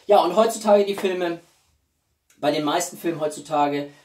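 A man's voice: on the high side, moderate pace, a little mellow, a little hiss or hum. Guy in his 40s doing a casual vlog, opinionated and emphatic, echoey room, mic a bit far off. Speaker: YouTube film reviewer and channel host. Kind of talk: casual chat to camera about a film collection. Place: small room at home, indoors. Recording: roomy, distant microphone.

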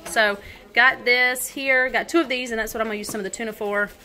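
A woman's voice: a little high, fairly even intonation, brisk, pushed and bright. A woman in her thirties chatting casually at home about her groceries, full of ums and likes, filmed on a handheld phone. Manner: chatty, many ums and likes, listing items. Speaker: vlogger. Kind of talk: casual grocery haul narration. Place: home kitchen, indoors.

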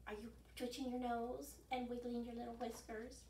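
A woman's voice: on the high side, flat delivery, normal speed, warm tone, a little hiss or hum. A woman in her sixties hosting an interactive children's storytime, encouraging listeners to join in, recorded at home by a distant microphone. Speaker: children's library storytime host. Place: carpeted living room at home. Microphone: distant camera microphone. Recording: roomy, mic a few metres away.

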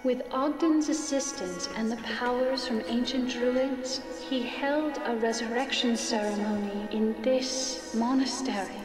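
An actress playing a gentle, solemn female ghost in a video game cutscene, speaking formally and pleadingly. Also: on the high side, steady tone, a little slow, hardly any pauses, natural voice. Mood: solemn, sorrowful. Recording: echoey hall, distant, music behind.

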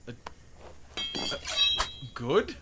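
A man voicing an indignant, goofy masked bat vigilante in an improvised comedy fan dub, whiny and halting. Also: heavy background noise. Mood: bemused. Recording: loud music over the voice.

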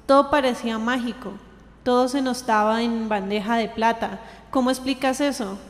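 A young woman playing an earnest, anguished sister in an amateur radio drama, with a halting, plaintive delivery. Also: a little high, steady tone, lightly acted. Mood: troubled, insistent. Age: young adult. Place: indoor green-screen studio. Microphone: handheld dynamic microphone shared close up. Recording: reverberant hall-like echo.

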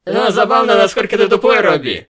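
A man's voice: high voice, sing-song, brisk, a bit bright. A man voicing a mocking, gleeful triangle demon from a visual novel, taunting and theatrical.

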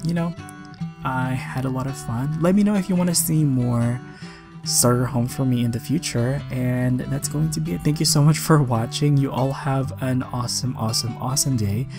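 Casting: young male gaming creator, slight rasp, chatty and upbeat casual voice-over, clear guitar music bed underneath. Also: medium pitch, moderate pace. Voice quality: slightly raspy. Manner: chatty, enthusiastic. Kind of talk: casual voice-over commentary on a game speed build.

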